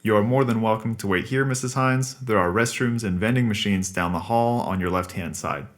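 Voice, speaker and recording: Formal, patient therapist for podcast drama, played by a man: low register, measured and explanatory. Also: close to an everyday voice. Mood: polite, welcoming. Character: calm, courteous male psychotherapist, patient and formal. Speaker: actor in a scripted podcast drama. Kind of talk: naturalistic audio drama acting.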